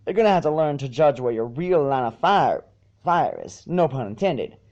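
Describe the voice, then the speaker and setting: A man's voice: on the high side, sing-song, moderate pace, a little mellow, dull audio. A man voicing a blunt, teasing, affectionate female farm pony. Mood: critical, instructing. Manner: instructive, frank, folksy.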